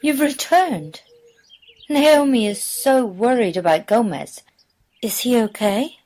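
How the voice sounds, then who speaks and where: A woman's voice: fairly high pitch, animated, takes it slowly, a little mellow. A woman playing a solemn, concerned priestess in a sci-fi audio drama.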